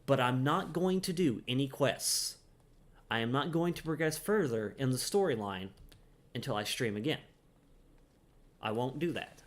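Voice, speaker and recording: A man's voice: medium pitch, lively intonation, normal speed, long pauses. Casual, chatty man streaming a game. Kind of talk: casual livestream commentary over gameplay.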